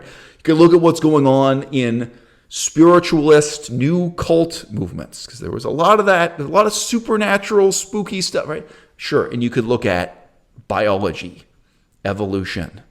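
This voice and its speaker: A man giving an opinionated solo video lecture as the channel host, arguing emphatically and stressing his points. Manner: emphatic, argumentative, swings pitch for stress.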